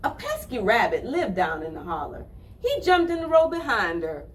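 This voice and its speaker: A woman telling a children's story with deliberate phrasing, addressing young listeners directly. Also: mid-range voice, very animated, recorded from a distance, slight background noise.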